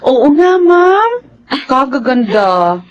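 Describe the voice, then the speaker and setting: A woman plays a timid new Filipina housemaid in a radio drama, honest and earnest. Her voice is high, on a slightly dull, lo-fi recording. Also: sing-song, very slow, exaggerated voice. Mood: respectful, helpful.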